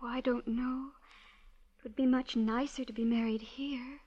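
Woman as a high-voiced pampered young heiress, old radio. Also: steady tone, moderate pace, natural voice. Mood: dreamy. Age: young adult. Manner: girlish, airy, flouncing. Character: naive, pampered, petulant young heiress, breezily callous. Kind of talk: 1940s radio drama acting. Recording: dull old radio recording.

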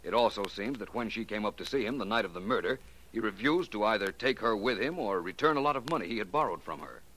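An actor playing a suave, confident American detective in 1940s radio drama style, speaking deliberately and with assurance. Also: male voice, fairly low pitch, lively intonation, a bit bright, low-quality recording. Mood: assured explaining. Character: confident, shrewd male private detective explaining a solved case. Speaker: lead actor.

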